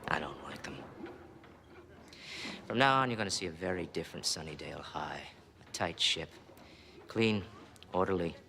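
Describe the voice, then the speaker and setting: A petty authoritarian school principal in a TV comedy-drama. The low voice is clipped and sardonic, full of menace and open disdain for children. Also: male voice, animated, moderate pace, pauses often, pushed and bright, a little hiss or hum, exaggerated voice. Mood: stern disdain. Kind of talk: screen comedy-drama acting.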